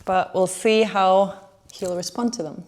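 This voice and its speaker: A woman's voice: mid-range voice, steady tone, measured pace, a little mellow, slight background noise. A woman hosting a casual vlog, commenting and asking questions in an enthusiastic, admiring way. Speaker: host and interviewer.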